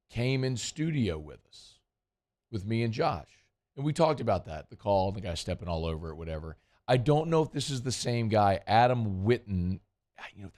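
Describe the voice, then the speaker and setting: A man's voice: fairly low pitch, a little mellow. A man hosting casual sports talk radio, chatty and rambling, his voice worn and strained. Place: radio studio.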